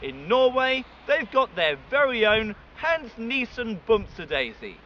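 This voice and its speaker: A man's voice: extremely high pitch, sing-song, unhurried, muffled recording, slight background noise. A man introducing a mock sports show in a mock-formal presenter tone.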